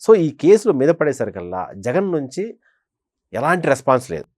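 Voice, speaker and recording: A man's voice: fairly high pitch, big pitch swings, moderate pace, darkish tone, no room sound, studio quality. A man giving conversational political commentary as a journalist, emphatically repeating phrases for stress. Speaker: senior journalist, political analyst.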